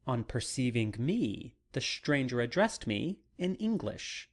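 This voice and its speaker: Slow, expressive audiobook narrator. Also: medium pitch. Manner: measured, deliberate phrasing. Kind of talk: dramatic literary narration.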